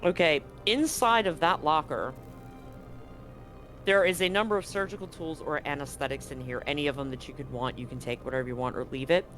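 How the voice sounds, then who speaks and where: A man narrating as game master, describing scenes in a halting way with frequent ums and restarts. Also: fairly high pitch, fairly bright tone, faint music behind.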